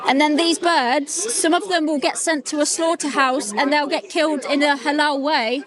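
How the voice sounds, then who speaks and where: A woman's voice: sits high, moderate pace. A woman interviewing passersby outdoors, talking in an earnest, persuasive way and asking probing questions. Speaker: street interviewer. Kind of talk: street outreach conversation. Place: outdoors in a busy public street. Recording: outdoor street recording with crowd noise.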